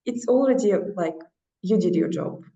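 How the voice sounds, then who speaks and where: A woman's voice: medium pitch, expressive, moderate pace, creaky, warm tone. A woman with a Russian accent, a non-native speaker, talking as an interview guest in an earnest, encouraging way. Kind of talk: casual interview talk. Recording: echoey, distant, dull lo-fi sound.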